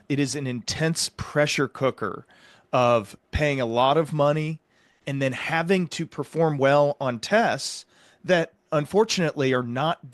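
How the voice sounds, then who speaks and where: A man speaking as a medical provider and interview host, explaining his views in an earnest, measured, conversational way. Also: fairly high pitch, dry recording, clean studio sound. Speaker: psychiatric provider and podcast host.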